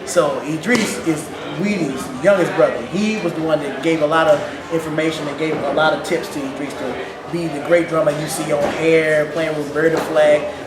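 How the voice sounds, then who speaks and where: Animated Ghanaian-born percussionist, echoey room. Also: male voice, a little high, normal speed, hardly any pauses, over a noisy crowd. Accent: St. Louis. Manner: animated, laughing, repeats words. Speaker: percussionist guest. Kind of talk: casual interview. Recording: echoey room with loud background noise.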